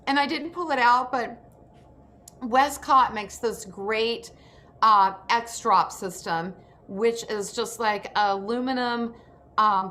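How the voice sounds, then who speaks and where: A woman's voice: fairly high pitch, sharp tone, a little hiss or hum. A woman hosting and instructing in an online session, giving practical advice in a direct, decisive way. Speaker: program host and instructor.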